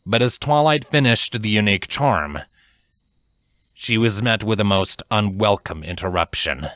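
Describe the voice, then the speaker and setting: A man reading scripted fantasy fiction as narrator, in a measured, dramatic storytelling manner. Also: fairly low pitch, lively intonation, fairly bright tone, no room sound.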